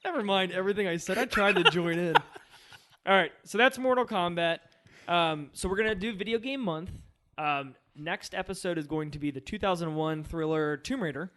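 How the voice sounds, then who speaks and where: A man's voice: high voice, normal speed, pushed and bright. A man co-hosting a film podcast in casual, conversational chat. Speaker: podcast co-host.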